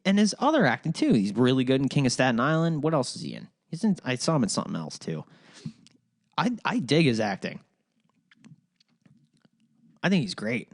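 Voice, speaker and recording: A man's voice: mid-range voice, big pitch swings, a little fast, pauses often, no echo, studio quality. A young man from Ohio with a Midwestern accent, hosting a solo podcast in a rambling, profane, casual way. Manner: rambling, profane, full of fillers and restarts. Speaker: podcast host.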